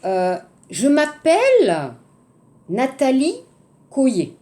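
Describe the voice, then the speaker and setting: French woman, 50s, French accent, language teacher, bright animated delivery, patient repetition. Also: high voice. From France. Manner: slow, repeats each phrase across languages, checks in with "hein?". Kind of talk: introductory language lesson.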